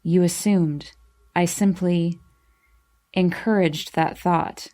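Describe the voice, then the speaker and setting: A woman's voice: fairly low pitch, steady tone, slow, mellow. A woman voicing a brooding, defiant young man in an audiobook, bitter, curt and cutting.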